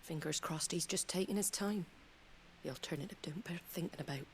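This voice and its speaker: An actress playing a wary, plain-spoken innkeeper in a video game. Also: female voice, on the low side, a little fast, slight background noise, natural voice. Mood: helpful. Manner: guarded, plain-spoken, folksy. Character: wary, blunt woman innkeeper. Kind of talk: game dialogue.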